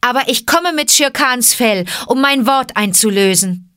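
Storybook wild boy, played by a woman: raised by wolves, proud, defiant, urgent, voice lifted high. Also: expressive, a little slow, fairly bright tone, clear, resonant tone, dry recording, clean studio sound.

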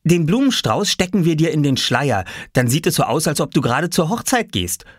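A man's voice: on the high side, sing-song, a little fast, slightly rough, no echo, clean studio sound, pitched above the performer's own voice. A man voicing a bossy, earnest little boy, a self-important big brother in a children's storybook.

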